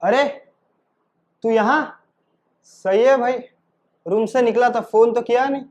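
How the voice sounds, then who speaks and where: Male acting student, high voice, slow halting delivery of rehearsed lines, dull recording. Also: a little mellow. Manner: halting, repeating lines phrase by phrase. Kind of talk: rehearsing short dialogue lines aloud in class.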